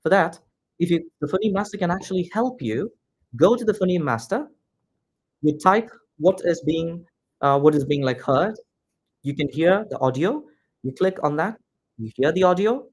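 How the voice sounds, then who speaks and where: Indian guy, malayalam is his first language, teaching pronunciation, fairly high pitch, keeps saying guys. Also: animated, moderate pace, a little mellow, husky. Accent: Indian, neutralized English. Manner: direct, repetitive, addresses students as "guys". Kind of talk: classroom instruction to students.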